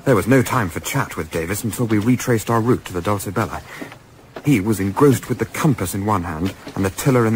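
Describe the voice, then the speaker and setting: An actor playing an observant, composed gentleman adventurer in a radio play, speaking in a measured, articulate, understated way. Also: on the low side, background noise, close to an everyday voice. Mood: tense recounting. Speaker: lead actor narrating and playing a part. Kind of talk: radio play narration and dialogue.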